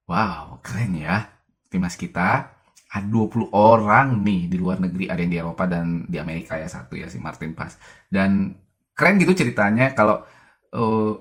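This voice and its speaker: A man with a very low, deep voice talks through football news in a relaxed, chatty way. He sounds enthusiastic and keeps asking rhetorical questions. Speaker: commentator narrating a football video. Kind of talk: casual video commentary.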